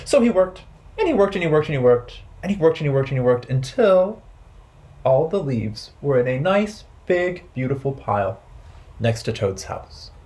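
A man in his thirties telling stories and rhymes to small children as a storytime host, in playful, simple, deliberate phrasing. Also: fairly high pitch, big pitch swings, darkish tone. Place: bright indoor room with wooden floor.

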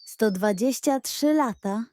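Lady doing a curious storybook schoolboy, boyish and excitable, soft dry sound. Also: a little high, slow pace, no room sound. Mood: amazed. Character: curious young schoolboy, grumbly then wide-eyed.

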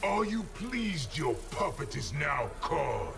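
An actor playing a scornful, menacing sorcerer-emperor in a fighting game, with a gravelly, theatrical, taunting delivery. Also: male voice, on the high side, very animated, slow pace, heavily acted. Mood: scornful menace. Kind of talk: fighting game character acting. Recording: distant voice under loud music.

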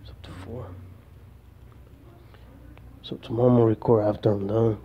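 A man talking casually as he films a handheld vlog, repeating short phrases and giving shout-outs. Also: fairly low pitch, flat delivery, moderate pace. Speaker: vlogger. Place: church back rooms, indoors. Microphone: handheld phone. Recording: muffled noisy phone recording.

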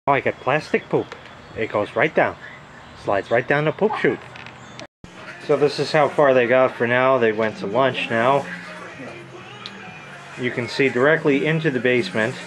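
A man's voice: medium pitch, noisy background. A man giving a casual, rambling walkthrough as he films home repairs, swearing freely with a wry, crude manner. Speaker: homeowner filming his plumbing repair.

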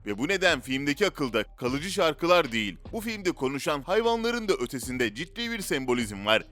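Man, scripted narrator, bright forceful voice, lively intonation, dramatic storytelling. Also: fairly high pitch, moderate pace. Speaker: narrator of a list video. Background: background music.

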